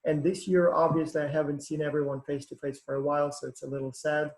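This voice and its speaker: A man's voice: fairly high pitch, level tone, fast talker, darkish tone, low-quality recording. A man with a Ukrainian accent, an associate professor, introducing himself on a video call in a matter-of-fact way, listing numbers and facts. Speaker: associate professor, program founder.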